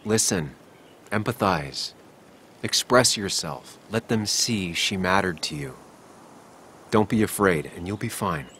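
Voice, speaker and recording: A deep-voiced, coaching adviser. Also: male voice, lively intonation, measured pace, a bit bright, slight background noise. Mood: reassuring, instructive. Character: calm, encouraging male adviser urging empathy at a funeral. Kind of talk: video game drama dialogue.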